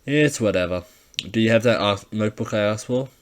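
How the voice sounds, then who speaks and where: A sly, laconic schoolboy, voiced by a male narrator in a fairly low register. He keeps things casual and understated, with coolly feigned sincerity, as a character in a read-aloud story. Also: brisk, natural voice. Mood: dry, pleased. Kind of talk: amateur fan fiction audiobook character voice.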